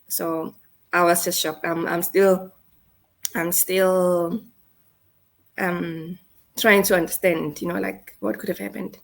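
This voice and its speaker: A woman in her thirties with a South African accent, telling a painful personal story in a halting, hesitant way. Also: on the low side, level tone, vocal fry. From South Africa, North West. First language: Setswana. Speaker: guest. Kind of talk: emotional personal account.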